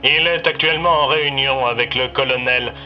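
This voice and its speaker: A man's voice: medium pitch, fairly even intonation, brisk. A man playing a polite, procedural military radio operator in an audio fiction, heard through a radio filter. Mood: polite, businesslike. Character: businesslike military radio operator, secretly a villain's soldier. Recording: muffled radio-style sound, faint music.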